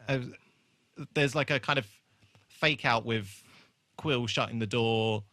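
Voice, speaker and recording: Man, podcast host, bright forceful voice, very dry recording, casual, rambling, jokey. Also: medium pitch, slow, no room sound. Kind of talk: casual chat.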